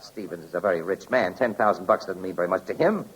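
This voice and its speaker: An actor playing a skeptical, wry newspaper photographer and amateur sleuth in a 1940s radio drama, with a husky, colloquial delivery. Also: male voice, low-pitched, quick pace, low-quality recording. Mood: sardonic, arguing. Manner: colloquial, sardonic, questioning. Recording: old-time radio recording.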